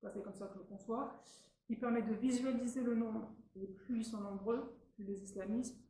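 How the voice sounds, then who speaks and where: A woman in her sixties from Tunisia, giving an earnest, halting public talk at a meeting as an invited speaker, with many restarts. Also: fairly high pitch, fairly even intonation, dark tone. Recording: very echoey room, faint noise.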